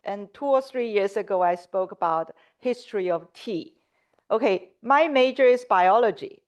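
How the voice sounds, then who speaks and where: A woman's voice: medium pitch, animated, moderate pace. Woman lecturer with Mandarin Chinese accent. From China. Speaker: symposium speaker, scientific curator. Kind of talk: prepared lecture to an audience.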